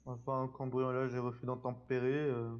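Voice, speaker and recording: A man's voice: medium pitch, completely flat delivery, fairly quick. A man in casual online police role-play, hesitant and muttering as he works things out. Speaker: player acting as a police sergeant. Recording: reverberant, slightly dull gaming voice chat.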